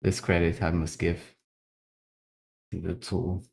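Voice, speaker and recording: A Brazilian man speaks English with a Brazilian accent in a very low, deep voice. He talks slowly and casually while drawing, often stopping to repeat a word before he goes on. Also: little pitch movement, vocal fry, a little mellow, slightly rough. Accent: Brazilian Portuguese. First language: Brazilian Portuguese. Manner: halting, repeats words while thinking. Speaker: illustrator.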